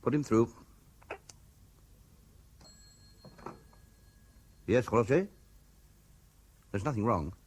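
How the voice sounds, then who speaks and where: A man's voice: medium pitch, expressive, normal speed, a little mellow, slight background noise, close to an everyday voice. A man playing a self-important, anxious businessman husband in a radio play, with a pompous manner. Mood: brisk. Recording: 1970s radio drama recording.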